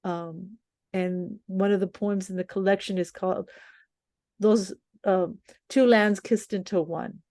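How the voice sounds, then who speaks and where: An older woman from the US-Mexico border, bilingual in English and Spanish, talking about stories in a thoughtful, measured conversation with frequent restarts. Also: medium pitch, steady tone, dark tone, no room sound. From US-Mexico border region. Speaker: columnist. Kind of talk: reflective conversation.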